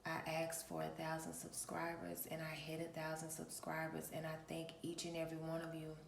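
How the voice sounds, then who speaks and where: A woman with a gravelly, just-woken voice talks in a flat, unhurried way. She speaks like a preacher to her channel's viewers and often stops to clear her throat. The room sounds echoey, with a faint hiss behind her. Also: low voice. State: raspy from early morning. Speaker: spiritual reader and channel host. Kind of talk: early-morning casual vlog address.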